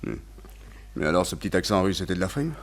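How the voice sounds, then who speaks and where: An actor playing a suave, deceitful seducer and crook in a French radio play, smooth and offhand in manner. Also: male voice, low voice, expressive, fast talker. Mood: indignant, cornered.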